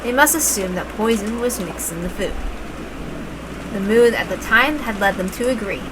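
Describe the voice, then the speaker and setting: A woman's voice: mid-range voice, moderate pace. A woman narrating a story aloud as a reader, expressively and with informal asides. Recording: clear background noise, like rain.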